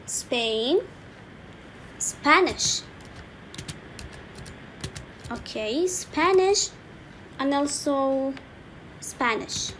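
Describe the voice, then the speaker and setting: A woman teaching an English lesson slowly, repeating each word and saying "okay" often, with keyboard typing faintly behind her. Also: high-pitched, lively intonation, fairly bright tone, a little hiss or hum, low-quality recording. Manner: slow, repetitive, saying each word twice with frequent "okay". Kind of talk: slow screen-recorded language lesson.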